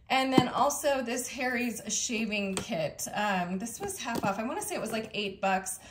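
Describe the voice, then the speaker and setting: A woman's voice: mid-range voice, slight background noise. A young woman chatting casually as she shows off her groceries, upbeat, with fillers and small laughs. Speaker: vlogger showing a grocery haul. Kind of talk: casual chat. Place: indoors at a kitchen table.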